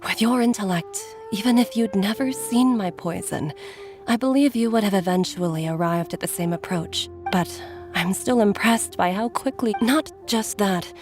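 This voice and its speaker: An actress plays a caring woman doctor in a video game, medium in pitch and wistful. She speaks earnestly and with weariness, over faint music. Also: moderate pace, natural voice. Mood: earnest, probing. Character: Jiangli, weary, caring woman doctor and mother. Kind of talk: scripted video game voice acting.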